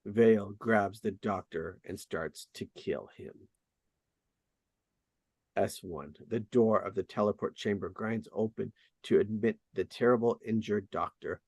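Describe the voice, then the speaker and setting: A man's voice: lowish voice, measured pace, a little mellow, no echo. A man reading out scene directions as scripted narration at a drama table read. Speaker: reader of stage directions.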